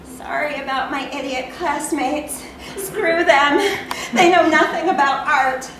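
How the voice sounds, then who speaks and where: An echoey, distant stage recording of a woman playing an entitled student. The voice is pitched up, blunt and dismissive, with a snide comic edge suited to live theatre. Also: takes it slowly, a bit bright. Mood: scornful. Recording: roomy echoing venue, distant mic, audience noise.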